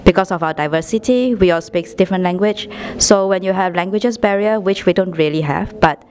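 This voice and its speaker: A woman from Malaysia answers interview questions in a somewhat low voice. She speaks in a measured way and slows down for emphasis. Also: darkish tone, a little hiss or hum. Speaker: guest, dance school founder.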